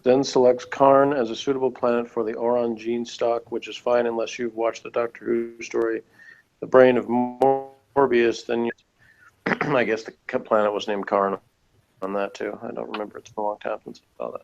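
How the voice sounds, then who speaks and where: A man co-hosting a casual review chat, talking in a rambling, halting, self-deprecating way. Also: mid-range voice, flat delivery, vocal fry. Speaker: co-host of a TV review show. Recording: dull, lo-fi audio.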